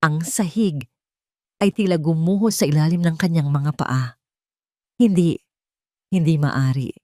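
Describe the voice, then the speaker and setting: Female storyteller for audio drama, slightly low voice, measured dramatic read, very dry close sound. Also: darkish tone, no echo. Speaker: story narrator. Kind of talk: dramatic scripted narration of a love story.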